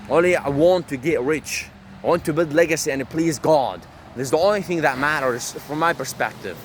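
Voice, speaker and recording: A swaggering man, cursing and bragging as he rambles to his own camera. His voice sits at an ordinary middle pitch. He speaks out on a city street, with faint traffic humming behind him. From Syria. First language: Arabic. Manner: brash, profane, boastful. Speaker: vlogger. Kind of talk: rambling self-talk monologue.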